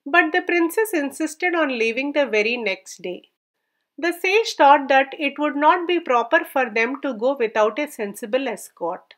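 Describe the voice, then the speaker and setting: A woman with a high-pitched voice reads a folk story aloud. She works as a storyteller, delivering scripted narration. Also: animated, a little slow, fairly bright tone.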